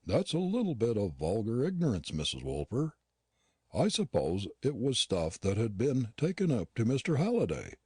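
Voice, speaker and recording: A man voicing a curt, disdainful gentleman dentist who talks down to his housekeeper. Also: mid-range voice, huge pitch swings, moderate pace, slightly rough, dry recording, clean studio sound. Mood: curt scornful. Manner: curt and scornful.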